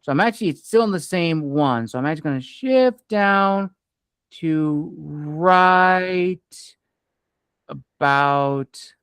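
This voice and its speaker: A man, the author, reading his own story aloud in a measured, expressive way. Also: a little high, smooth, no echo.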